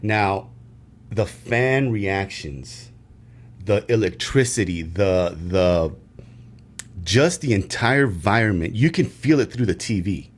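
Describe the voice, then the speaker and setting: A man with a lowish voice talks through a casual sports debate. He rambles, stumbles through restarts and fillers, then builds into emphatic, animated bursts. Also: slow pace. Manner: animated, emphatic when excited. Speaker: podcast co-host.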